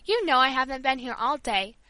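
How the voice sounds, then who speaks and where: A woman voicing an impulsive, excitable young girl in a storybook reading, talking eagerly and pleading. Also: high voice, a little fast, dry recording. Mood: excited. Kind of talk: audiobook character voice.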